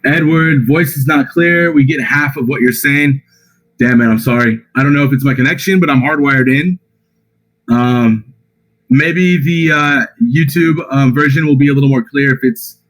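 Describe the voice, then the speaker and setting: Tired man, slightly raspy, casual Q&A answers over a voice call, lots of fillers. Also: medium pitch, moderate pace, rough recording. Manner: relaxed, reads out questions and answers them with many fillers. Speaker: project representative answering community questions. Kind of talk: casual Q&A on a voice chat.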